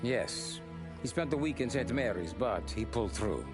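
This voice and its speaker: An actor playing a calm, businesslike mob adviser laying out a job in a video game cutscene. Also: male voice, medium pitch, close to an everyday voice. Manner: measured, businesslike. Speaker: mob consigliere. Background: music score.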